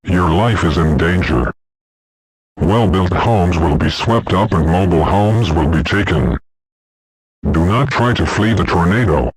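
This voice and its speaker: Very deep male emergency alert announcer. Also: husky, dry recording, poor audio. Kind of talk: formal scripted weather warning announcement.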